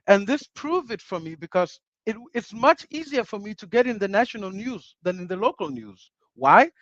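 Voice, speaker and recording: A man's voice: high voice, sing-song, moderate pace, a bit bright, no room sound. A man from Uganda, a guest on a panel discussion, talking earnestly, restarting phrases and repeating himself for emphasis. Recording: dull lo-fi dry sound.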